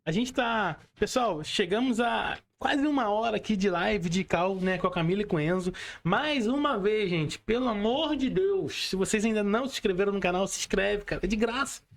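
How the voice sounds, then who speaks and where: Male podcast host, Brazilian, notably high voice, informal interview style with frequent tag questions. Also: lively intonation, normal speed, creaky. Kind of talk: casual online interview hosting. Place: remote video call.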